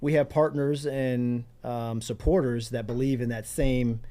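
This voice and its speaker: Mellow middle-aged man, interview guest. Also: mid-range voice, steady tone, a little slow. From Pennsylvania, United States. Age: middle-aged or older. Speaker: interview guest, community organizer. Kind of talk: conversational interview answers. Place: radio studio.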